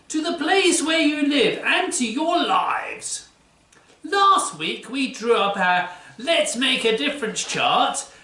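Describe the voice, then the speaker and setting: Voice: sits high, bright, forceful tone, exaggerated voice. A presenter voicing a zany, eager know-it-all science doctor in a children's church show, talking in a theatrical sing-song. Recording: roomy sound from a distant mic.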